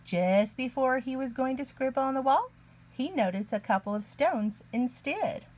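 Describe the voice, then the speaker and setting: A woman reading a picture book aloud to young children in an expressive, deliberate way. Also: high voice. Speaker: children's story reader, early learning teacher.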